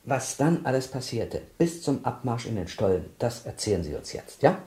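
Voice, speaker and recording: An actor playing a courteous, brisk film director who gives instructions in naturalistic radio drama dialogue. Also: male voice, mid-range voice, very animated, dark tone, lightly acted. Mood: instructing. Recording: roomy echo, faint background noise.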